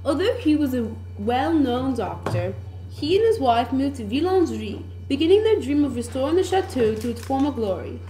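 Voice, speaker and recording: A woman's voice: high-pitched, dark tone. A woman playing an informative tour guide at a French chateau in a scripted puppet show. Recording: echoey room.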